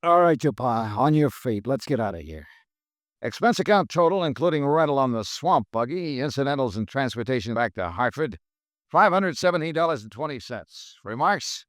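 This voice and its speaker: A man's voice: medium pitch, sing-song, normal speed, no room sound, natural voice. An actor playing a cool, dry male insurance investigator in a 1950s radio drama, asking terse, clipped questions. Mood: wry.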